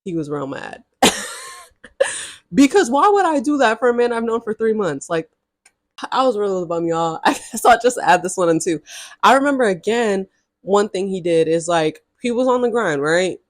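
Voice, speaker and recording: A woman's voice: mid-range voice, very animated, moderate pace, no room sound, studio quality. A woman in her early twenties telling a storytime to her viewers in a chatty, sassy way, with frequent direct asides. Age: early 20s. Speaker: vlogger. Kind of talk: casual storytime to camera.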